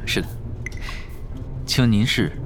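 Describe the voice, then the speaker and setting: A man's voice: unhurried, a little hiss or hum, natural voice. An actor playing a polite, earnest and persistent young man, a younger twin brother, in a radio drama. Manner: courteous, earnest.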